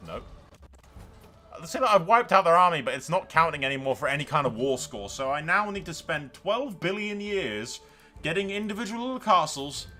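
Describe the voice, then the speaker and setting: Bright-voiced man doing casual game commentary. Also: on the high side. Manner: sweary, addresses viewers directly, drifts into dramatic storytelling. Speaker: gaming video host. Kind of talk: casual improvised game commentary with roleplay storytelling.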